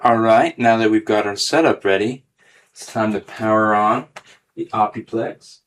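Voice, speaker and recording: A man's voice: fairly low pitch, a little slow. A man hosting a casual hands-on tech demonstration video, talking through each step as he goes. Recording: clearly noisy recording with clicks.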